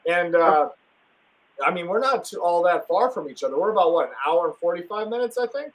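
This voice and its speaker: A man's voice: high voice, animated, brisk, rough recording. A young man from Wisconsin hosting a casual live stream, talking enthusiastically and rambling with plenty of fillers and restarts.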